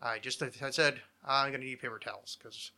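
A man's voice: mid-range voice, steady tone, a little fast, sharp tone. A man in his thirties chatting casually and ramblingly as a podcast host, with a wry, mock-dramatic, self-deprecating manner.

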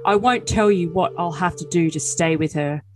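A woman's voice: on the low side, normal speed, soft background music, close to an everyday voice. A woman voicing an earnest, defensive, lovesick young man in a dramatic reading of text messages. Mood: defensive, boastful. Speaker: podcast cast reader.

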